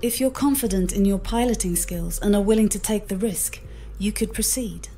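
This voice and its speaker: A woman's text-to-speech voice as an AI mission companion, formal, measured and articulate in explaining advice. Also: mid-range voice, mellow, faint music behind. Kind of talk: formal advisory narration, text-to-speech assistant.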